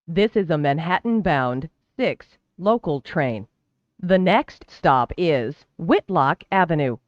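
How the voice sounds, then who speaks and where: A train announcer delivering scripted transit announcements in a formal, measured, clearly enunciated way. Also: mid-range voice, lively intonation, no room sound, highs cut off. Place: recorded train public-address announcement.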